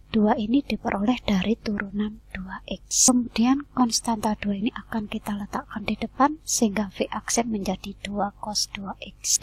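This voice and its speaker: A woman teacher walks through a math lesson step by step in steady, scripted narration. Her voice is airy and husky, close to a whisper, at a middle pitch.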